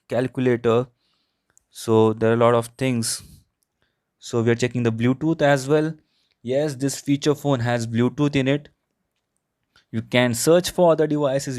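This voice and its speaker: A man's voice: medium pitch, darkish tone, no echo. A man with an Indian accent narrating an unscripted product review in a repetitive, explanatory way. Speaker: tech reviewer.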